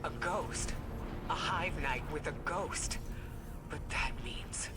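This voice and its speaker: An actress playing a grave, thoughtful commander and lore keeper in a science fiction video game, with a measured, solemn delivery. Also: female voice, deep voice, very noisy. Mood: concerned, astonished. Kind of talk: cinematic video game acting and narration.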